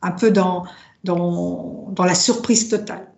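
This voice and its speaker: A woman born in France to Italian parents, with Italian as her first language, telling her story slowly with many pauses and fillers. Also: fairly low pitch, steady tone, creaky, a little mellow. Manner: hesitant, many fillers, reflective. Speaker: drum maker, guest. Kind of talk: casual interview, personal story. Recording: roomy, dull lo-fi sound.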